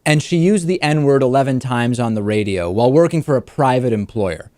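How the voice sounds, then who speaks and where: A man hosts a political talk show in a dry, close studio sound. His voice sits at a medium pitch, and he is measured and articulate as he lays out examples and puts questions to a guest. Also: animated, a little mellow, no echo. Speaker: talk show host and interviewer.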